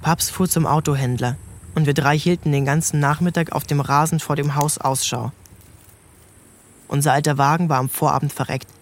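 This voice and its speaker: A man's voice: on the high side, fairly even intonation, normal speed, slight background noise. A man narrating a literary story in the first person, as a scripted reading for a radio drama.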